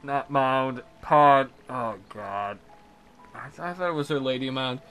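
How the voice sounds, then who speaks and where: A man's voice: medium pitch, a little slow, a bit bright, clean tone. A man hosting a let's play, reading out a visual novel and mocking it with crude joking commentary.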